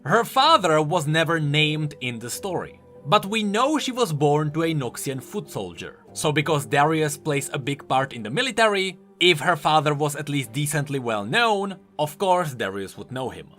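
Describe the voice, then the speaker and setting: A man's voice: on the high side, very animated, moderate pace, few pauses, a bit bright, faint music behind. A man presenting explanatory video commentary on game lore, informal and emphatic in his delivery. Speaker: YouTube game lore host.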